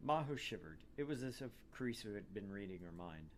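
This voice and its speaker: A man's voice: fairly low pitch, animated, fairly quick, slight background noise. A man narrating a visual novel as a gaming host, with irreverent asides.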